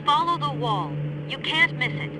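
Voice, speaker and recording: A composed, impatient young woman investigator in a video game. Her voice is lively in pitch and briskly instructive, with loud music playing underneath. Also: poor audio.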